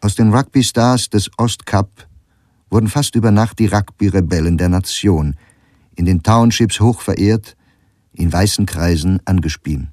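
A man reads scripted documentary narration in a measured, factual way. His voice is fairly low and mellow, recorded very dry in a studio. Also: no echo.

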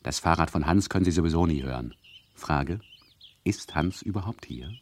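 An actor playing a mocking, bossy narrator of a satirical radio play, addressing listeners with mock solemnity and condescension. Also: male voice, rumbling low, normal speed.

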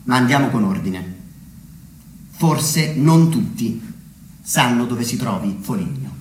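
A man delivering a theatrical narrative monologue, deliberately, with dramatic pauses and emphasis. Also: medium pitch, animated. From Umbria, Italy. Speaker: solo theatre storyteller. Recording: roomy echo with background noise.

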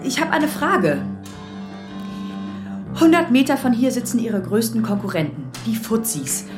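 An actress playing a plucky police assistant in a radio play, pert, probing and sardonic. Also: female voice, fairly high pitch, very animated, measured pace, reverberant, over music.

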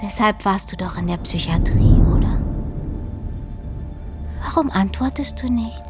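An actress in a radio drama playing an eerie, childlike younger sister, needy and accusing, who slips into whispers. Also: female voice, medium pitch, measured pace, close to an everyday voice. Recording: music behind the voice. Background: music.

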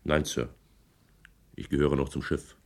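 A very deep-voiced, hesitant sailor. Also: male voice, fairly even intonation, vocal fry, darkish tone, heavily acted. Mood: uncertain. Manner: hesitant, halting answers. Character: plain, hesitant male ship's crewman. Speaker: witness. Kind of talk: 1980s radio drama acting.

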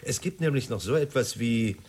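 A proud middle-aged male film director in a radio drama. His husky voice swings widely in pitch as he holds forth with pompous indignation. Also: lively intonation, moderate pace, darkish tone. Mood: indignant. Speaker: actor.

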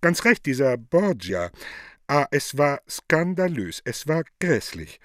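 A man's voice: a little high, big pitch swings, slow, a bit bright, no echo, studio quality, heavily acted. A man playing an affected, haughty, coaxing woman in a theatrical radio play, mannered and gushing. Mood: affectedly scandalized. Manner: mannered and gushing, with French interjections.

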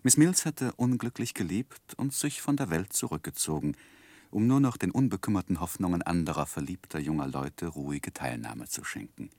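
Wistful gentleman narrator, deep voice that moves a lot, very dry studio, old radio play style, romantic and ornate. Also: expressive, moderate pace, dry recording. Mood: wry, reflective. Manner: wistful, ornate literary phrasing. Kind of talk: 1960s German radio drama narration.

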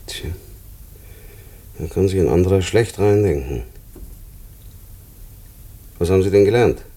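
Very deep, muffled-toned prison inspector. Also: male voice, lively intonation, unhurried, mellow. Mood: subdued, awkward. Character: weary, apologetic prison inspector on night duty. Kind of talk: German radio play acting.

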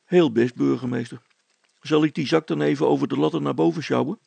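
A man's voice: a little high, fairly even intonation, brisk, gravelly, mellow, breathy edge, no echo, heavily acted. A man voicing an easygoing, helpful village man in a storybook reading, talking plainly and familiarly. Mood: willing, puzzled.

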